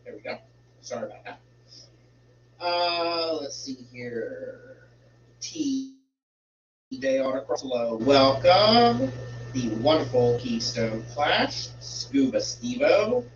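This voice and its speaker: A man's voice: medium pitch, sing-song. A man hosting a casual live stream from home, rambling while he fixes settings, sounding congested and a bit stuffy. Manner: slow, rambling, fiddles with settings while talking. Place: home room with a fish tank. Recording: roomy, distant, dull home stream with hum.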